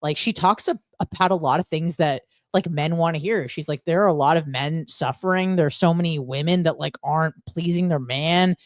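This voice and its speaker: A woman's voice: low voice, normal speed, few pauses, no echo, clean studio sound. A woman co-hosting a podcast in casual, unscripted chat, speaking bluntly and emphatically with crude humor. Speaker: podcast co-host.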